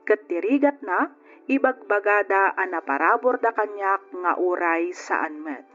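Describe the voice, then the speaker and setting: A woman's voice: medium pitch, animated, measured pace, fairly bright tone. A woman playing a single woman who tells her life story with an Ilocano accent, as plaintive radio drama narration. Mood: reflective. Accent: Ilocano, from the Philippines. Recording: lo-fi radio recording with music behind. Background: background music.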